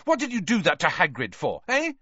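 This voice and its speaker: A man's voice: sits high, huge pitch swings, fairly quick, sharp tone, slightly rough, no room sound, dull audio, pitched above the performer's own voice, full character voice. A man voicing a hot-headed, loyal teenage schoolboy in an audiobook, blunt and defiant. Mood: angry, defiant.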